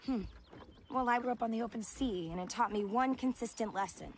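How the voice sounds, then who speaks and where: An actress voicing a tough, sardonic young adventuress in an animated comedy, with a wry, teasing delivery. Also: female voice, fairly high pitch, brisk, a little hiss or hum, natural voice. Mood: guarded, dry. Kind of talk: animated film comedy acting.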